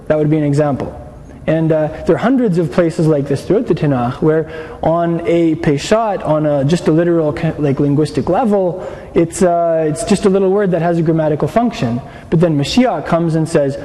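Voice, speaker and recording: A man with a slightly raised yet mellow voice teaches a class, explaining patiently and putting questions to his listeners. His voice carries the long echo of a hall, over a faint hum of room noise. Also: fairly high pitch. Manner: explanatory, asks the class questions, frequent restarts. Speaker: Bible teacher.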